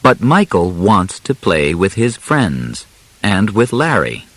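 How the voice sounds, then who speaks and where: A man narrating an audiobook from a simple script, deliberate and carefully enunciated, in short phrases with pauses between them. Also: sits low, big pitch swings, no echo.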